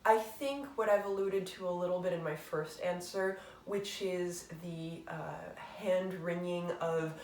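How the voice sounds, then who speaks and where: A woman's voice: on the low side, fairly even intonation, a little slow, hardly any pauses, darkish tone, recorded from a distance, a little hiss or hum. A woman hosting her book channel, chatting casually and reflectively, rambling with fillers and poking fun at herself. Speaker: booktube channel host. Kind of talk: casual chat answering a tag. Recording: roomy echo, voice away from the mic.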